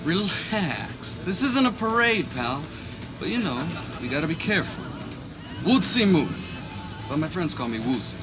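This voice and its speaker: A man's voice: a little high, sing-song, a little slow, music in the background. An actor playing an affable, honorable male street-racing boss in a video game, polite, composed and articulate. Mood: relaxed, guarded. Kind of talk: video game cutscene acting.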